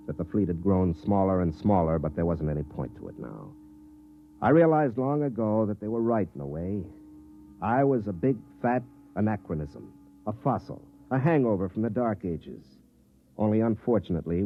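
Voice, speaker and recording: A world-weary, sardonic space captain from a 1950s radio drama. His low voice moves with lively swings of pitch, telling his story with bitter cynicism. It all comes through thin and narrow like a telephone, on an old broadcast recording. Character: jaded, hard-drinking army space captain narrating his story. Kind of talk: 1950s radio drama acting with first-person narration. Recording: band-limited 1950s radio recording with music.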